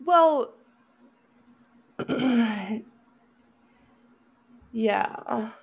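A woman's voice: a little high, lively intonation, extremely slow, slightly rough. A woman co-hosting a casual film review chat, often breaking into giggles as she talks. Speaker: podcast co-host.

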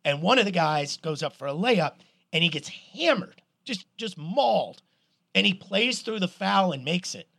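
A middle-aged man co-hosting a podcast, telling stories in an animated, swearing, casual way. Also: high voice, moderate pace, vocal fry, no echo, clean studio sound. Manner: animated storyteller, swears, restarts a lot. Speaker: podcast co-host. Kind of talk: casual sports chat.

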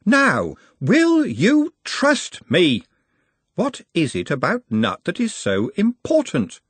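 A man's voice: high voice, huge pitch swings, slow pace, fairly bright tone, slightly rough, no echo, pitched above the performer's own voice, exaggerated voice. A man voicing a nervous, dutiful young male academic clerk who stammers and defers. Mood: determined.

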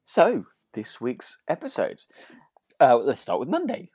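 Animated British man in relaxed podcast chat. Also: medium pitch, measured pace, husky, dry recording, clean studio sound. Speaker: podcast host.

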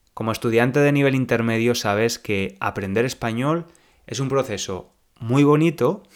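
A young man from Spain with a Spanish accent, hosting a podcast lesson for learners and speaking slowly and clearly like a teacher. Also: mid-range voice. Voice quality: slow, clear teacher speech.

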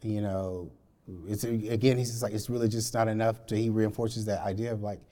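Dark-voiced, lowish man from Alabama. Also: level tone, a little fast. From Alabama, United States. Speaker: podcast guest. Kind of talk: conversational discussion.

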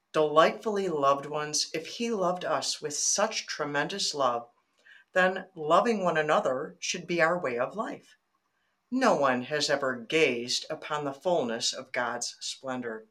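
Bright-voiced woman from Michigan. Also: sits low. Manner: earnest, animated, laughs while telling stories. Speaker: podcast guest, faith-based coach. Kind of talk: casual faith podcast chat and scripture reading.

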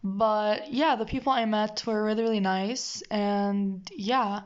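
A woman's voice: medium pitch, steady tone, measured pace, clean tone. A teenage girl co-hosting a casual podcast chat, a native Arabic speaker talking in English, rambling and hesitant with lots of ums. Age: teens. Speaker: podcast co-host. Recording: dull lo-fi audio.

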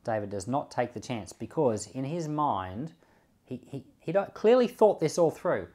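Man giving relaxed bible commentary. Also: mid-range voice, moderate pace. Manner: conversational, repeats words while thinking, light chuckles. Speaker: pastor. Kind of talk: bible commentary and closing prayer.

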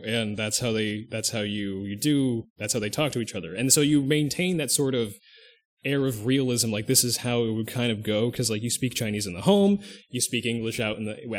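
Rambling man thinking aloud. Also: mid-range voice. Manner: rambling, thinks aloud, restarts and self-corrects often. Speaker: podcast co-host. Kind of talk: casual podcast chat.

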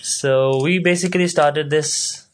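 A man's voice: fairly high pitch, steady tone, unhurried. A young man from Pakistan co-hosting a casual student podcast, talking in an easygoing, joking way with lots of fillers. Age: young adult. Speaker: podcast co-host, university student. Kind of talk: casual chat.

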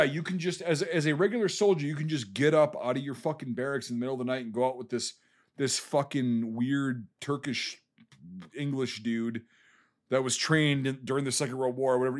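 A man in a casual podcast chat, venting about a film with plenty of swearing and exasperation. His voice sits at a middling pitch, and his sentences stumble and restart as the complaints pile up. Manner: profane, exasperated, rambling with restarts. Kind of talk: casual ranting film review.

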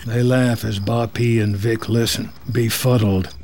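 Slow, measured male narrator. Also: on the low side, level tone, darkish tone, a little hiss or hum. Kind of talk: scripted screenplay narration, present tense.

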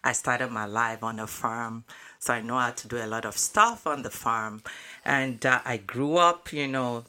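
A woman's voice: a bass voice, a little slow, sharp tone. A middle-aged woman from Nigeria with a Nigerian accent, talking cheerfully and emphatically as a guest in a radio interview. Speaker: interview guest, financial educator and speaker. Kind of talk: conversational interview. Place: radio studio. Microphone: studio microphone.

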